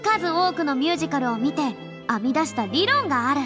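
A woman's voice: sits high, sing-song, brisk, in a large hall, music in the background. A woman voicing a proud, theatrical young woman with self-assured phrasing in an anime game. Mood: confident. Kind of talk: Japanese anime game voice acting.

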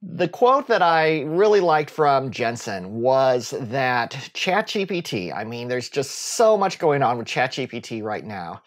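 Animated middle-aged man talking tech. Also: medium pitch, a little slow, hardly any pauses. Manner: enthusiastic, gestures with both hands while talking. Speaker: tech YouTuber. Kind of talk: casual talk to camera. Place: home office with bookshelves.

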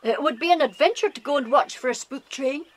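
A woman with a British accent playing an eager, excitable farm boy who craves adventure in a children's audio drama. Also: sits high, fairly quick, natural voice. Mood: curious.

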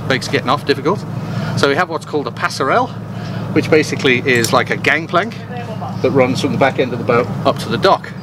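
A man in his fifties vlogging from a sailboat cabin, chatty and wry, with filler pauses. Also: mid-range voice, noisy background. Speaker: sailing vlogger. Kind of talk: casual vlog narration.

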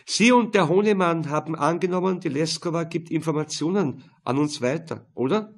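A man's voice: a little high, normal speed, pitched above the performer's own voice. A man voicing a persistent, dry police detective in an audiobook, terse and probing. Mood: pressing.

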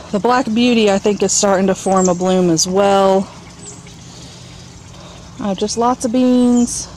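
A woman with a fairly high voice chats casually as she shows viewers around a backyard garden. She speaks off the cuff into a handheld camera, with clear outdoor ambient noise around her. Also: a little mellow, rough recording. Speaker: home gardener giving a tour. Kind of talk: casual off-the-cuff vlog narration. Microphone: handheld camera mic, held by speaker.

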